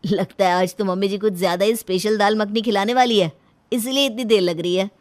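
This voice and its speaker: Teasing young in-law at dinner for a cartoon , played by a woman, mid pitch, close dry sound. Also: steady tone, fairly quick, dry recording, close to an everyday voice. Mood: teasing, expectant.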